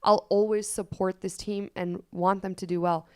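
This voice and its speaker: A woman's voice: medium pitch, little pitch movement, normal speed, mellow, smooth. A woman whose first language is Polish, co-hosting a sports podcast and giving blunt, outspoken opinions in casual chat. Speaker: co-host.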